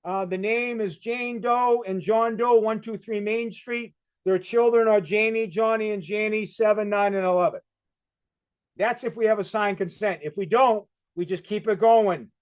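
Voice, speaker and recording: A man with a Boston accent giving a brisk presentation. He walks through the steps with quick questions and answers. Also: high-pitched, fairly even intonation, clean tone. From Massachusetts. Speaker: presenter on community crisis programs. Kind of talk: fast presentation talk.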